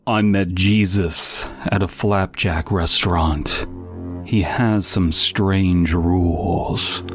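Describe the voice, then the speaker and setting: A man's voice: low voice, fairly even intonation, takes it slowly. A man narrating a first-person comic horror story. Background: music.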